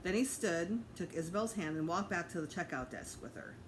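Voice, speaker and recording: A woman teacher reading a children's storybook aloud in an expressive narrating style. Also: fairly low pitch, brisk, noisy background.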